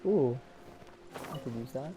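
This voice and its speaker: A young man giving casual, excitable commentary while playing a video game. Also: mid-range voice, unhurried. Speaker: gaming youtuber. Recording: game music behind.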